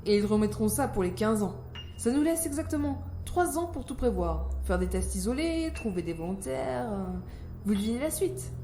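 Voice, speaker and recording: A woman's voice: a little high, sing-song, normal speed, dark tone, a little hiss or hum. A woman playing an ambitious, calculating scientist in an audio drama, articulate, self-assured and coolly persuasive. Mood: scheming, persuasive. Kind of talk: scripted audio drama acting. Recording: roomy sound, faint music behind.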